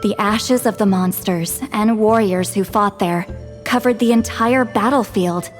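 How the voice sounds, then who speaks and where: An actress playing a wise, wry elderly woman who guides spirits, speaking in an unhurried storytelling way for a video game. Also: mid-range voice, soft background music, lightly acted. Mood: solemn storytelling.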